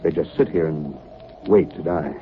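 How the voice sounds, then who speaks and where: A grave, steadfast expedition captain in 1930s radio style. His voice sits very deep and he speaks with measured authority. The old broadcast recording is boxy and noticeably hissy. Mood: confiding, sombre. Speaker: radio actor. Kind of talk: 1930s radio drama acting. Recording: muffled, noisy 1938 radio broadcast recording.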